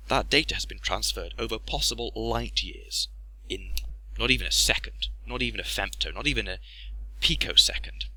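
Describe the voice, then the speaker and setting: A man's voice: fairly low pitch, moderate pace, bright, forceful tone, no echo, rough recording. A man giving a casual, jokey, sweary explainer voice-over in an informal way. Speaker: let's play host explaining physics.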